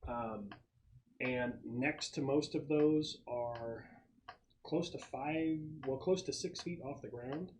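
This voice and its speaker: A man running a tabletop game as game master, describing scenes off the cuff and pausing as he searches for words. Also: medium pitch, darkish tone, a little hiss or hum. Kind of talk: improvised tabletop game narration.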